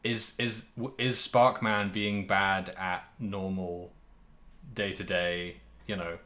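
A man with a fairly low voice co-hosts a gaming podcast. He talks casually and rambles a little, working out his thoughts out loud as he goes. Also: flat delivery, takes it slowly. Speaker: podcast co-host. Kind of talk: casual chat about a video game.

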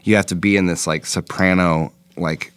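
A man's voice: deep voice, steady tone, normal speed, a bit bright, clear, resonant tone, no room sound. A young man, a rock singer, chatting loosely in an interview with many fillers and restarts. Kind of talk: casual interview chat.

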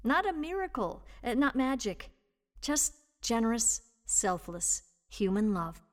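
Female reader for audio narration: higher-pitched voice, measured and expressive storytelling delivery. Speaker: volunteer reader and host of an audio reading service. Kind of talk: reading a personal essay aloud, scripted narration.

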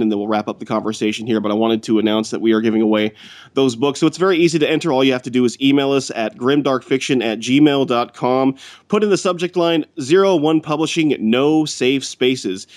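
Male podcast host, easygoing and cheerful, mid-pitched voice. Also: a little mellow. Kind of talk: casual panel chat.

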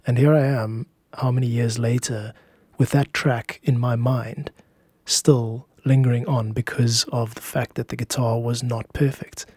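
Mellow-voiced man hosting a podcast, dry sound. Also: medium pitch, flat delivery, unhurried, breathy edge, no echo. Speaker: podcast host and composer. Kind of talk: scripted podcast narration.

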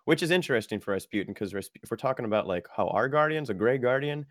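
A man's voice: mid-range voice, lively intonation, fast talker, dry recording, studio quality. A man in a casual group conversation, speculating in a laid-back way and often trailing off mid-sentence.

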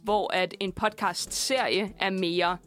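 A woman's voice: mid-range voice, steady tone, fairly bright tone. A young adult woman co-hosting casual student radio, talking in a rambling, playful, informal way in a studio. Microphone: studio microphone.

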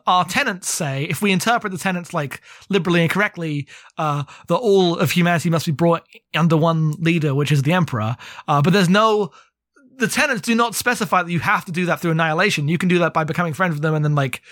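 A man with a slightly high voice talks as a podcast co-host in a close, dry recording. He recaps and discusses a book casually, feeling his way along in a halting, rambling manner with frequent asides. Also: fairly bright tone, dry recording.

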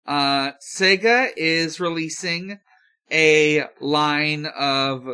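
A podcast co-host casually relaying news in a hesitant, halting way. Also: medium pitch, sharp tone, smooth.

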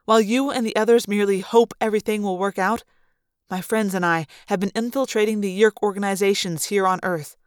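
A patient, ancient male android speaks in a soft, measured way, as a woman reads him. His tone stays earnest and gentle. The sound is dry and close. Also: medium pitch, fairly even intonation, clear, resonant tone, dry recording, lightly acted. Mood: quiet.

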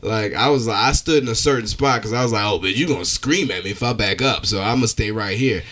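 A man telling stories in casual podcast chat, animated, slangy and freely swearing. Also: lowish voice, quick pace. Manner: animated storytelling, profane, slangy. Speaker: stand-up comedian, podcast guest.

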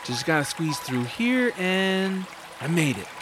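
A man's voice: on the high side, big pitch swings, a little slow. A man playing an upbeat, curious young boy hero in an audio drama, eagerly talking to himself over music. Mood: hopeful, curious. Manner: eager, self-narrating. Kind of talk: family audio drama acting.

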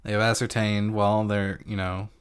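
A man co-hosting a podcast, recapping a TV episode in a halting casual way with many restarts. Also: fairly low pitch, level tone, smooth. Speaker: podcast co-host.

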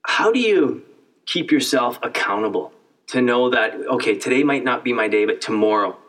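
A man's voice: mid-range voice, lively intonation, normal speed, fairly bright tone, distant mic. A middle-aged man from Canada hosting a casual sit-down interview, asking earnest, searching questions and often repeating words as he builds them. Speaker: host.

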